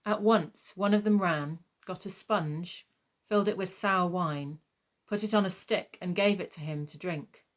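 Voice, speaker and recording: A woman reading a scripted gospel narration in a measured, solemn manner. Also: on the low side.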